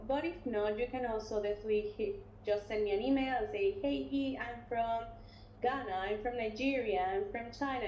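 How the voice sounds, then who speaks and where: A woman working as an international recruitment officer, presenting a webinar and taking questions in a relaxed, chatty way with many fillers and restarts. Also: fairly high pitch. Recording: roomy webinar audio, faint noise.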